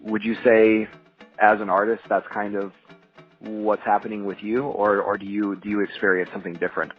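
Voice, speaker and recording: A man's voice: on the low side, flat delivery, moderate pace, highs cut off, soft background music. A man hosting a podcast interview, asking hedging, searching questions and relating to his guest. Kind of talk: conversational interview.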